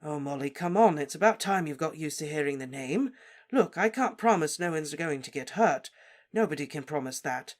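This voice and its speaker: A woman's voice: deep voice, expressive, moderate pace. A woman doing a firm, soothing wizard. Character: calm, firm grown wizard, a man.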